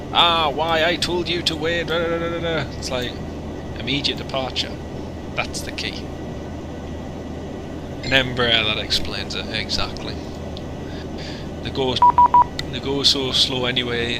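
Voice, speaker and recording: A man's voice: mid-range voice, unhurried, pauses often. Chatty man over engine noise. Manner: chatty, joking, rambling asides. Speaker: flight sim streamer. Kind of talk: casual livestream chat. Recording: noisy, with engine hum.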